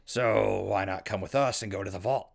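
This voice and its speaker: Male actor as friendly wasteland ghoul broadcaster, low and gravelly, casual conversational delivery. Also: normal speed. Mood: persuading, friendly. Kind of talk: post-apocalyptic audio drama acting.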